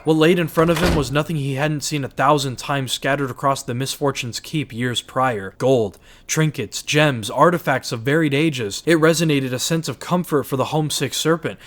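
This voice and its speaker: A man's voice: medium pitch, normal speed, hardly any pauses, a bit bright. A man narrating a scripted fantasy story in a dramatized audiobook style.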